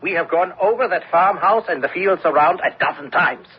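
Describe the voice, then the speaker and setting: An actor playing a gentle, thoughtful German lieutenant in a radio drama, reasoning earnestly. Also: male voice, high voice, fairly quick, slight background noise, natural voice. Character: conscience-troubled German army lieutenant, gentle, thoughtful, male. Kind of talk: old-time radio drama acting. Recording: dull lo-fi radio broadcast recording.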